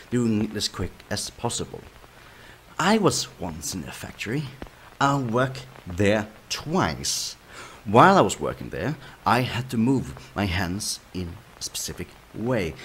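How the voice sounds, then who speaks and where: A man's voice: fairly low pitch, a bit bright. A man performing a spoken-word monologue with emphatic, repetitive phrasing and rhetorical questions. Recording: noisy recording with room ambience.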